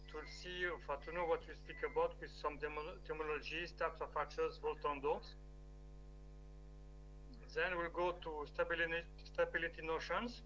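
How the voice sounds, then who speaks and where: A man with a strong French accent, giving an online technical lecture with a steady, explaining manner and the odd restart. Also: a little high, poor audio. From France. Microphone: video call.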